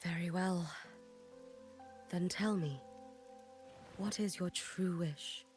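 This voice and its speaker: Low, slow, solemn shrine maiden. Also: female voice, darkish tone, faint music behind. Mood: solemn, composed. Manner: measured, grave, restrained. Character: solemn, stoic female shrine maiden of legend. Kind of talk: game voice acting.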